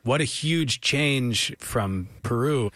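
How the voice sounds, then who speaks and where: A man's voice: medium pitch, expressive, takes it slowly, a bit bright, dry recording, clean studio sound. A man with an American accent hosting a public radio music interview in an effusive, encouraging manner. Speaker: radio show host and interviewer.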